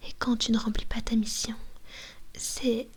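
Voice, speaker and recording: Woman as a possessive vampire mistress, ASMR roleplay, binaural mic, soft whisper, slightly high voice. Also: little pitch movement, a little slow, husky, exaggerated voice. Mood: coaxing. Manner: mostly whispered, coaxing and commanding. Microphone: binaural microphone.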